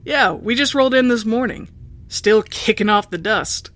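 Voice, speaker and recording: Chipper, animated, wisecracking woman. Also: a little high, normal speed, faint music behind. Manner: casual, joking. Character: chipper, wisecracking, easygoing female new arrival. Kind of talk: audio drama acting.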